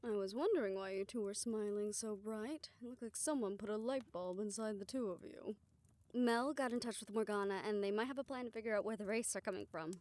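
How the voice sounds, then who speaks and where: Soft-spoken woman in audio roleplay. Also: mid-range voice, brisk, no room sound. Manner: soft, hushed delivery, often dropping to a whisper. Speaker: audio roleplay performer voicing several characters. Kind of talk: scripted audio roleplay dialogue.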